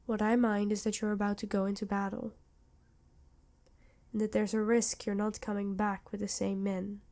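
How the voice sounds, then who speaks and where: A woman voicing a worried, protective vampire queen in an ASMR roleplay, earnest and measured, with dramatic pauses. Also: medium pitch, flat delivery, dark tone, lightly acted. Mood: tender, wistful. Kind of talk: ASMR roleplay acting addressed to listener.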